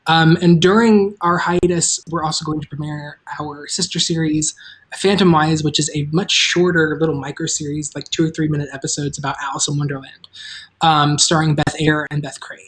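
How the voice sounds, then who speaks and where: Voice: mid-range voice, fairly even intonation, moderate pace, fairly bright tone, poor audio. A host chatting casually on a livestream, making announcements in a loose, informal way that trails off mid-thought. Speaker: host and creator of an audio drama.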